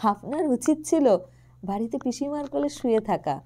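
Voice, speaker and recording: A woman voicing a lively, teasing young woman in an audio story, with a playful manner. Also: fairly high pitch, moderate pace.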